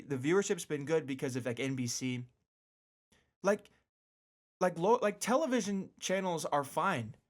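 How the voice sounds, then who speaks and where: A man hosting a casual solo sports podcast, rambling and animated, repeating phrases for emphasis with joking asides. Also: on the high side.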